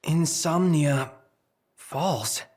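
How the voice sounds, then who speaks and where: A man's voice: a little high, very slow, darkish tone, husky, heavily acted. A man voicing an earnest, anxious, boyish young companion in a video game. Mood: hesitant. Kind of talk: dramatic video game voice acting.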